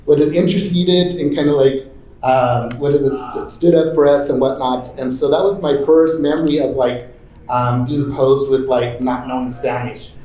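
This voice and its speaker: A man moderating a conference roundtable speaks in a middling voice, loose and halting. He keeps filling gaps with "um" and "what is it". The room around him is noticeably echoey. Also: mid-range voice, steady tone, dark tone. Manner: halting, full of fillers like "um" and "what is it". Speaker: moderator and panelist. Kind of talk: casual conference talk.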